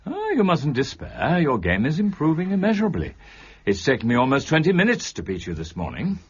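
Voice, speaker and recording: An actor playing an urbane, self-assured gentleman detective with dry wit and teasing politeness in an audio drama. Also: on the high side, huge pitch swings, slightly rough, muffled recording, exaggerated voice. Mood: amused. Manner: unhurried, teasing, needling politeness.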